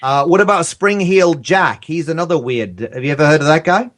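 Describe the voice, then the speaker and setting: A man's voice: fairly high pitch, brisk, fairly bright tone. Rambling man in casual podcast chat. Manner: rambling, hesitant storytelling. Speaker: podcast guest, audio drama creator.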